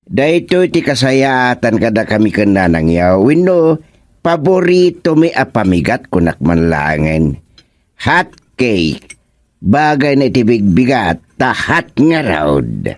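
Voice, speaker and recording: A man's voice: mid-range voice, very animated, slow pace, dry recording. A man acting a jovial older village father in an Ilocano radio comedy drama, hearty and drawling. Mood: pleased.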